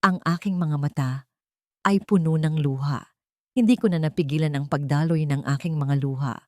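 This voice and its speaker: Low-pitched woman narrating a drama story. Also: normal speed, dark tone, dry recording, studio quality. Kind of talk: dramatic scripted first-person narration.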